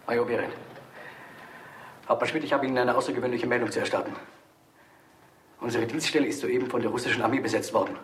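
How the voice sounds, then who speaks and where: An actor playing a stiffly correct German army major in a film, reporting in clipped, formal military language. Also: male voice, mid-range voice, fairly even intonation, quick pace, pauses often, a bit bright, close to an everyday voice. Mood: formal, urgent. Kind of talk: dramatic film dialogue. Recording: echoey, noisy old film soundtrack.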